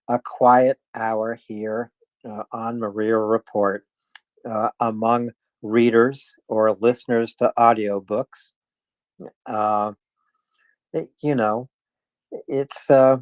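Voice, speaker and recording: A man hosting a live online discussion, speaking casually in a halting, hesitant way. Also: mid-range voice, no room sound. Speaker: host of a live audio discussion space. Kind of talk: casual announcing, chatting with guests. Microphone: live online audio chat.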